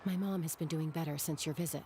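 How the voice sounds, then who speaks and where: A soft-spoken mourner, gravelly edge, noise behind. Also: female voice, deep voice, fairly even intonation, fast. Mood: subdued. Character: quiet grieving young adult.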